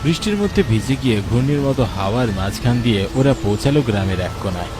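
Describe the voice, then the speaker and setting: A man's voice: mid-range voice, animated, fast talker, music in the background. A man narrating a scripted horror story as its storyteller.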